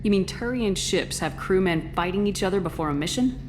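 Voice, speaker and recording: A woman playing a steady, supportive military commander in a video game, composed, reassuring and direct. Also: medium pitch, a little fast, noisy background, close to an everyday voice. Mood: curious, familiar.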